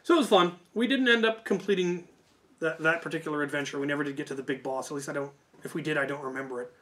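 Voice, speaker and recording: A man's voice: on the high side, quick pace, fairly bright tone. A man telling stories casually as a video host, in an informal, hedging way that often corrects itself. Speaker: YouTube host. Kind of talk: casual rambling storytelling.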